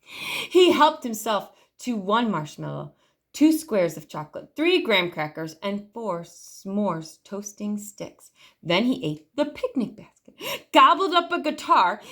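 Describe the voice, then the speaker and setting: A woman reads a children's picture book aloud as a storytime host. Her voice is fairly high and very lively in pitch, with deliberate, drawn-out emphasis on key words. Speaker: library storytime reader.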